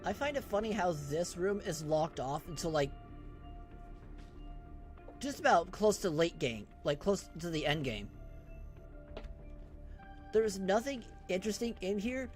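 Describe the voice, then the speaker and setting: A man's voice: sits high, very animated, unhurried, long pauses, soft background music. A man giving casual, joking commentary while playing a video game. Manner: offhand, joking asides. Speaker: gameplay streamer.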